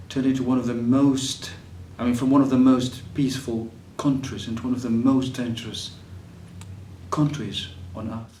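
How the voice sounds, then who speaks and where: A man's voice: medium pitch, darkish tone, slight background noise. Hesitant young man. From Syria. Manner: hesitant, many fillers and restarts. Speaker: refugee telling his story. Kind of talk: personal testimony. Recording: echoey room, mic at a distance.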